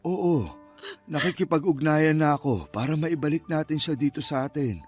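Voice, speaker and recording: An actor playing a steady elderly grandfather with a measured, consoling way of talking, in a Filipino-accented Tagalog radio drama. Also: a little high, husky, faint music behind. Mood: consoling, pained.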